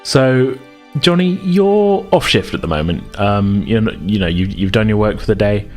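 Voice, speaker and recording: A man working as game master, improvising scenes for a tabletop role-playing game in a halting, hesitant way. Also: fairly low pitch, expressive, soft background music.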